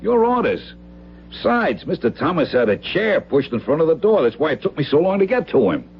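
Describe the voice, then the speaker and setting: An actor playing an earnest, deferential police guard in an old-time radio drama. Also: male voice, fairly high pitch, sing-song, a little fast. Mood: defensive, earnest. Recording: muffled, noisy old radio recording.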